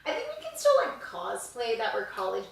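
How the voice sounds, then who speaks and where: A woman in her twenties co-hosting a podcast, joking in a lively, playful way. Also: a little high, vocal fry, heavy reverb, distant mic. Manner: lively, playful and quick to joke. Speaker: podcast co-host. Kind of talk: casual chat.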